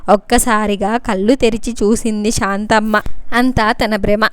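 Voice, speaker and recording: A woman's voice: medium pitch, fairly even intonation, a little slow, smooth, no room sound. A woman reading a scripted story as its narrator.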